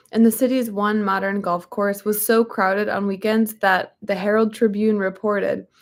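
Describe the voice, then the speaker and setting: Hoarse, chatty young woman. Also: medium pitch. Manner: chatty, rambling, frequent ums and likes. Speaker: host reading a book aloud. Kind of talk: casual chat and reading aloud.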